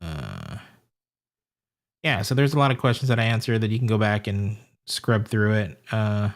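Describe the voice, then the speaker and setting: A man's voice: on the low side, expressive, moderate pace. Chatty man thinking aloud on a live stream. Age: adult with a wife and family. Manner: rambling, thinks aloud while fiddling with stream settings. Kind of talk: casual live-stream chat.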